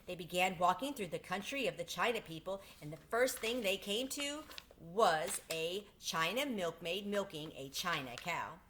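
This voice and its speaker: A woman's voice: on the low side, sharp tone. A woman reading a children's storybook aloud as a storyteller.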